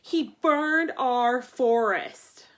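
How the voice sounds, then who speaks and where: A woman's voice: on the high side, very slow. A woman voicing a powerful, bossy king in a children's storybook, emphatic and accusing. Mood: indignant.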